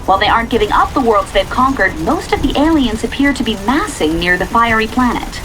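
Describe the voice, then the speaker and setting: An actress playing a polished, earnest American television reporter giving a live report in a video game, in crisp broadcast delivery. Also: female voice, on the high side, lively intonation, a little fast, natural voice, rough recording. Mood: urgent, polished. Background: music.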